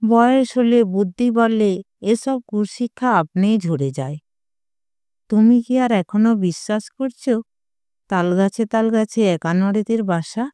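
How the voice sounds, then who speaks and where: A woman storyteller voicing an amused elderly grandfather, indulgent and gently teasing, in an audio story. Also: medium pitch, unhurried, clear, resonant tone, no room sound, close to an everyday voice. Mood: placating, amused.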